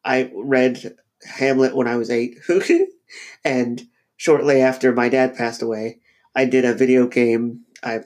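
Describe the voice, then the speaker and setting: A man with a head cold, his voice slightly nasal. He talks through ideas slowly as a podcast co-host, stopping and restarting with many fillers as he thinks aloud. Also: mid-range voice, little pitch movement, darkish tone. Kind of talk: casual podcast discussion.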